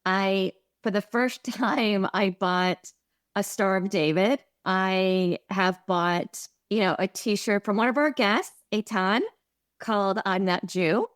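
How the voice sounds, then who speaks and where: A woman's voice: medium pitch, slow, clear, resonant tone, dry recording. Midwestern woman co-host, reflective and earnest, dry close mic. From American Midwest. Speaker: podcast co-host. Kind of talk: conversational podcast chat.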